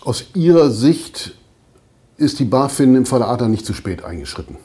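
A man working as a journalist, asking questions in a conversational interview and feeling his way through them haltingly. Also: mid-range voice, big pitch swings, dark tone. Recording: roomy, noisy on-location interview.

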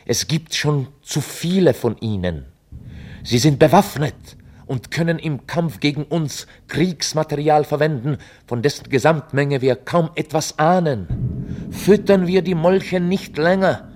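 An actor playing a formal chronicler, narrating a scripted radio drama in a documentary manner. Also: male voice, a little high, measured pace, a little mellow. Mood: urgent, exhorting. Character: formal chronicler recounting a history.

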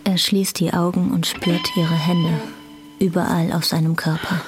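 A woman's voice: lowish voice, level tone, measured pace. A woman reading scripted narration for a German radio drama. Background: guitar music.

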